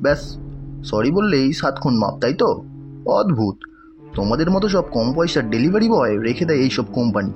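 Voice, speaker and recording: Guy doing a rude sarcastic young cartoon man, talks fast, muffled sound. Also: medium pitch, big pitch swings, music in the background, close to an everyday voice. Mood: scornful, irritated. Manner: curt, sarcastic, scornful. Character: rude, haughty, hot-tempered young male customer. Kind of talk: animated cartoon dialogue.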